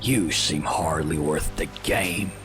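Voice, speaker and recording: A menacing captain of ancient sea raiders in an audio drama. His voice is deep and his delivery is unhurried, dripping with haughty contempt. Prominent music plays underneath. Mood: amused contempt. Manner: slow, deliberate, contemptuous delivery.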